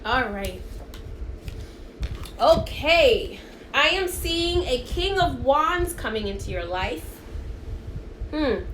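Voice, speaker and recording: Woman, high voice, native Spanish speaker, live card reading, chatty and encouraging, echoey room on a phone mic. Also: big pitch swings, slow, long pauses. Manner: chatty and encouraging, often checks in with "okay?". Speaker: spiritual card reader. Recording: echoey room, faint background hiss.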